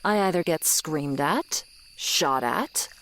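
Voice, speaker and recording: A woman's voice: deep voice, animated, a little hiss or hum, full character voice. A woman playing an ageless tsundere swamp monster girl, sardonic and grudgingly kind, in an ASMR roleplay. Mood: bitter, sardonic. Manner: slow, sardonic, haughty but softening. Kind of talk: ASMR roleplay acting, addressed to the listener. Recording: night swamp ambience with insects behind. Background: crickets and insect ambience.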